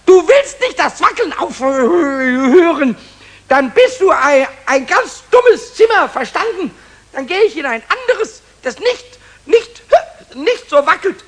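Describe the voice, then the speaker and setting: A man's voice: very high voice, a bit bright, heavily acted. A man voicing a cheeky, childlike little kobold in a children's radio play, tipsy and defiant, stammering and slurring with hiccups. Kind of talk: 1970s German children's radio play acting.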